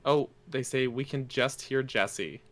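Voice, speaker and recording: A man's voice: medium pitch, unhurried. A man in his twenties, a podcast guest and streamer, chatting casually over an online call and laughing often. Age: 20s. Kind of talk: casual chat.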